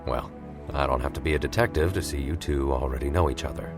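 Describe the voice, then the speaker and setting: Male actor as veteran cop, low steady voice, dry and upright, audio-drama scene with light score. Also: over music. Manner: measured, firm, dry. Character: steady, principled veteran police captain, adult man. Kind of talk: comic-book audio drama acting.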